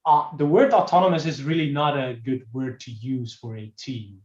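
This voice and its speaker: A man's voice: medium pitch, animated, moderate pace, a little mellow. A man moderating an online panel, handing over to speakers with brief prompts. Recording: roomy, dull lo-fi sound.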